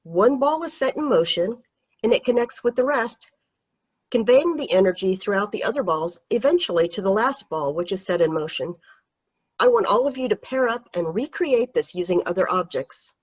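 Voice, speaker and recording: A woman voicing a strict high school teacher, stern and commanding, over dull video call audio. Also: expressive, normal speed, breathy edge. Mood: explaining.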